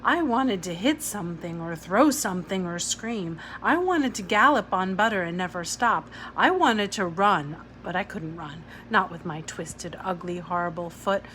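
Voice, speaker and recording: A woman's voice: fairly low pitch, animated, normal speed, few pauses, fairly bright tone, rough recording. A woman reading a children's novel aloud as scripted narration. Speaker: audiobook-style reader. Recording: clear background noise.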